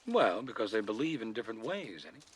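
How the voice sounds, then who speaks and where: An actor playing a gentle, patient father of a young boy in a television sitcom, explaining things with affection and dry humor. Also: on the low side, sing-song, quick pace, breathy edge. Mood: warm, affectionate. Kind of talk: naturalistic television sitcom acting. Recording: noisy old TV soundtrack.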